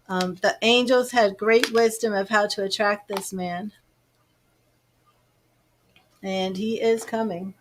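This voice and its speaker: A woman's voice: medium pitch, slight background noise. A woman giving live tarot readings, chatting to viewers by name and musing over the cards. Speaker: tarot reader.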